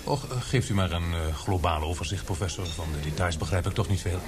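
Male actor, reassuring reporter, casual, patient, fairly low pitch, radio play, clear background noise. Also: a little fast, natural voice. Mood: attentive, soothing. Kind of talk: radio drama acting. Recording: noisy ambience behind the voice.

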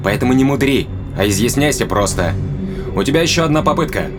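An actor playing a ruthless, commanding spaceship owner in an audio drama, curt and menacing in manner. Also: male voice, medium pitch, animated, quick pace, over loud music, natural voice. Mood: cold, threatening.

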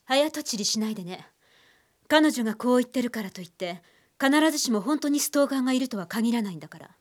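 An actress playing a composed, shrewd criminal profiler and psychiatrist in a radio drama, speaking in a cool, analytical way. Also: female voice, on the high side, lively intonation, fast, a little mellow. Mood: cool, instructive.

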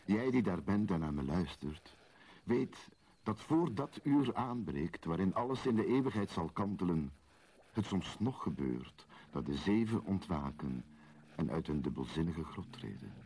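Deep, solemn, mystical storyteller. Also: male voice, big pitch swings, measured pace, darkish tone, slightly rough, heavily acted. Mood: solemn, mysterious. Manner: solemn, incantatory, addressing the listener directly. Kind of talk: slow poetic radio drama narration.

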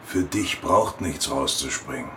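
A man's voice: rumbling low, animated, unhurried, a bit bright, slight background noise, heavily acted. An actor in a radio drama playing a cool, menacing man who speaks in terse threats. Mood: cold, threatening.

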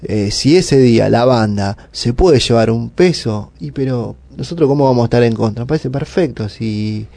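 Argentine man, Buenos Aires area accent, community organizer in interview. Gravelly, dark tone, halting and explanatory, dry recording. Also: medium pitch, no room sound. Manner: halting, explanatory, filler-heavy. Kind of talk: informal spoken interview answers.

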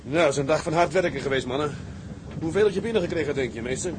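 An actor playing a calm, authoritative tugboat captain in a period sea drama, with matter-of-fact delivery. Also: male voice, on the high side, natural voice. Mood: businesslike. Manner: measured orders, matter-of-fact. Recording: engine and outdoor noise on boat.

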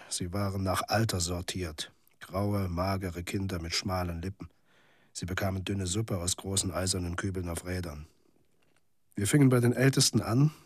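A man's voice: deep voice, a little mellow, breathy edge, no room sound, studio quality. An actor playing a weary, cynical male journalist telling his story in a laconic literary monologue for radio drama. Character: weary, cynical male journalist in a bleak near-future city.

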